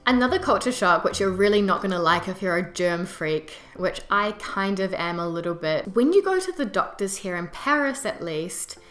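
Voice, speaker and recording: A woman's voice: mid-range voice, animated, moderate pace, few pauses, fairly bright tone. A young woman with a New Zealand accent chatting casually and emphatically to her viewers as a vlogger, with conversational asides. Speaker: vlogger, expat presenter. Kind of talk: casual to-camera vlog chat. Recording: roomy echo with music behind. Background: background music.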